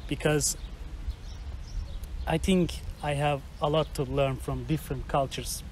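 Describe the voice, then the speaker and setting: A man's voice: a little high, steady tone, a little slow, a little mellow. Hesitant man, outdoor street noise. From North Macedonia. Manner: hesitant, searching for words. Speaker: interviewee. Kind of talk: street interview answers.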